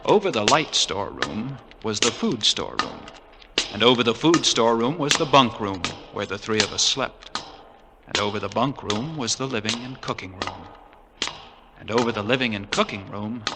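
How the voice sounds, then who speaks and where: A man's voice: fairly low pitch, animated, moderate pace, heavy background noise. An actor playing a lighthouse keeper who narrates his hair-raising tale with vivid, emphatic intensity and a wry edge, in 1940s radio drama style. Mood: reflective. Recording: vintage 1940s radio with music behind.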